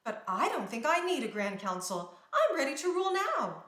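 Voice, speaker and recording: A woman's voice: high voice, sing-song, normal speed, fairly bright tone, pitched above the performer's own voice. A woman voicing a stubborn, eager teenage crown princess in a storybook, speaking emphatically and earnestly. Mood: defiant. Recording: roomy indoor echo.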